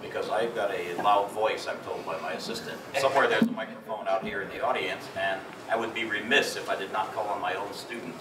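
Brisk male moderator, fast and low. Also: fairly even intonation. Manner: brisk, jokey. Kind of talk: moderating a panel. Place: large lecture hall with audience. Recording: roomy hall, distant mic, room noise.

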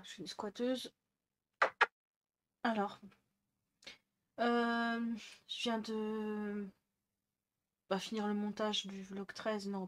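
A woman in her thirties vlogging casually from her desk at home, rambling with lots of fillers and restarts. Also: mid-range voice. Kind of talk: casual chatty vlog. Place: bedroom desk, indoors. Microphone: headset mic.

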